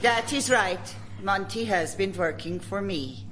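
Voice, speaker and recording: An actress playing a vengeful Swedish café owner, a melodramatic villain in a radio sitcom. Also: female voice, mid-range voice, measured pace, over loud music, lightly acted. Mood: gloating. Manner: melodramatic, gloating.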